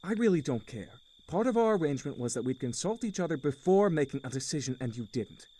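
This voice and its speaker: Mellow, weary uncle in a game. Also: male voice, on the high side, big pitch swings, fast talker. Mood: frustrated, firm. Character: weary, defensive uncle, restaurant owner with failing marriage. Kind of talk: visual novel voice acting.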